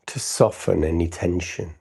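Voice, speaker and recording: A man leading a live guided meditation, speaking in short unscripted phrases with long pauses and a soothing, instructing manner. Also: low voice, creaky, dark tone, slightly rough. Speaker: meditation teacher hosting a livestream.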